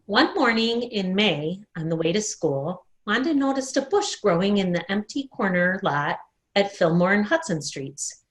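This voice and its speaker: A woman telling a children's story in an expressive read-aloud style, recorded at home in a dining room. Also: medium pitch, a little slow. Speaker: storyteller at a worship service.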